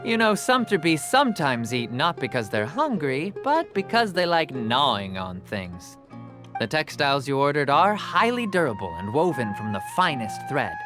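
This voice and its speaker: An actor playing a jovial, persuasive merchant with salesman patter, in video game dialogue. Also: a little high. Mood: salesy. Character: smooth-talking adult male merchant, jovial salesman. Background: game music.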